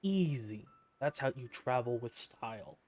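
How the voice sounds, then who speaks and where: A man giving casual, sarcastic live commentary as he plays a game, with a deadpan manner. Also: mid-range voice, a little slow. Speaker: game streamer. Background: game music.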